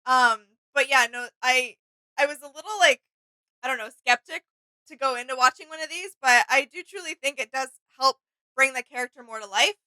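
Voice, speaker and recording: A woman's voice: sits high, normal speed, bright, forceful tone, dry recording, rough recording. A woman co-hosting a reaction video, talking in a casual, agreeable, informal way as she shares her thoughts. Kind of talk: casual reflective reaction chat.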